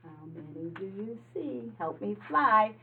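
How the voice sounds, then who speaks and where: A woman's voice: mid-range voice, big pitch swings, a little slow, vocal fry, narrow, tinny audio, a little hiss or hum. A woman reading a picture book aloud like an early-childhood teacher, talking simply and instructively to young listeners and asking them questions.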